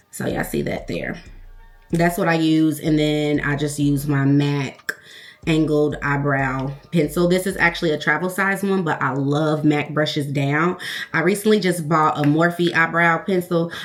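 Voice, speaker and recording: Chatty woman with a low voice, venting to her viewers. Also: a little slow, soft background music. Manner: chatty, confiding, addresses viewers as girl and y'all. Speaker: beauty vlogger. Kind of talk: casual get-ready-with-me chat. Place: home, doing makeup.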